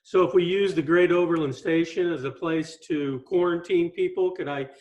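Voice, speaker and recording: A man's voice: on the high side, fairly even intonation, moderate pace, a little mellow. A man speaking informally as a county official on a conference call. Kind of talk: informal meeting question.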